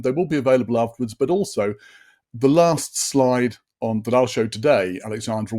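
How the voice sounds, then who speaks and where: A man in his sixties presents a live online session in a chatty, upbeat way. He stumbles and restarts often and fills gaps with little fillers. His voice sits a bit deeper than usual after a late night. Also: darkish tone.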